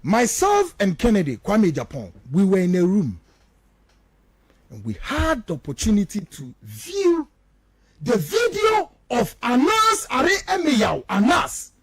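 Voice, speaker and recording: A man's voice: high-pitched, huge pitch swings, measured pace, dry recording. A Ghanaian man, a native Twi speaker, giving emphatic, accusatory political radio commentary in a declamatory way. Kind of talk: political talk radio commentary, mixing local language and English.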